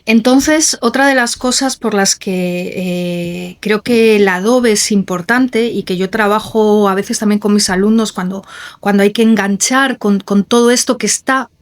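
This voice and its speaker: A woman, a writing teacher hosting a podcast, talking in a lively way with small laughs. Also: mid-range voice, measured pace. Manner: lively, laughs between thoughts. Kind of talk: casual conversation.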